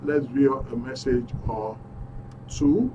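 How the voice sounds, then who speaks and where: A man's voice: medium pitch, takes it slowly, dark tone, far from the mic, highs cut off, a little hiss or hum. A Nigerian man hosting a live call-in radio show from the studio, upbeat as he reads out messages and phone numbers. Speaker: radio talk show host.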